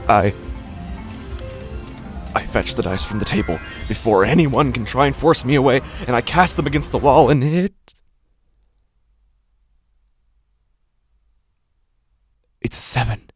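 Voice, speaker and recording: A man playing a desperate, unraveling gambler, narrating a horror audio drama in the first person with halting, haunted, dramatic delivery. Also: medium pitch, slightly rough, no room sound, soft background music, close to an everyday voice. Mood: shaken, frightened.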